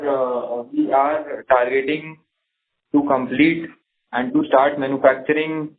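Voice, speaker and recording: A man with an Indian accent answering as a company executive on an earnings call, halting and measured, pausing between short phrases. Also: mid-range voice. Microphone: conference phone call. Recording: narrow tinny phone line.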